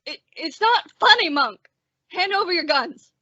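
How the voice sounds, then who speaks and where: Woman playing a high-voiced, timid young male sheriff. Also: animated, moderate pace, sharp tone, no room sound, highs cut off, heavily acted. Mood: nervous defiance. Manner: hesitant, stammering, anxious. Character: timid, bookish young male sheriff, nervous insect collector. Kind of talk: old-time western radio drama acting.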